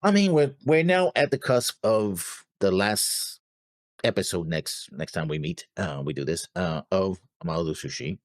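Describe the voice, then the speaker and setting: Man, very low voice, lively swooping intonation, halting casual talk, reaction show co-host. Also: dry recording, studio quality. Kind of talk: casual discussion of an animated series.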